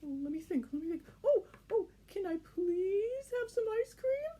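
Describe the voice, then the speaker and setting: A woman's voice: very high voice, big pitch swings, normal speed, warm tone, voice pushed up. A woman voicing a whiny, pleading childlike puppet in a children's puppet show.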